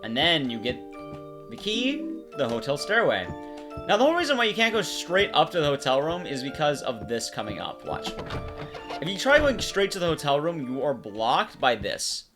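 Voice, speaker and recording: A man's voice: on the high side, very animated, moderate pace, over music. A man giving casual video game commentary, explaining things to the viewer in short phrases.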